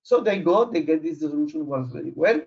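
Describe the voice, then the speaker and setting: A man who is not a native English speaker, giving an explanatory technical presentation in a halting way, searching for words. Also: fairly high pitch, animated, gravelly, darkish tone. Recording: muffled, dull online audio.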